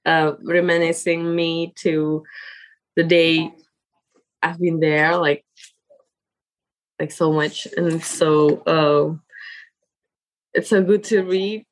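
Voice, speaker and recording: A young woman whose first language is Indonesian, speaking English in a casual interview as the guest and often pausing to search for words. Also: deep voice, steady tone, rough recording. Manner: halting, searching for words with many fillers.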